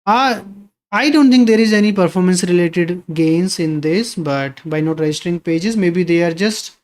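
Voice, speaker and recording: A man's voice: sits high, normal speed. A man hosting a live coding stream, reading viewer questions aloud and answering them in a casual, conversational way.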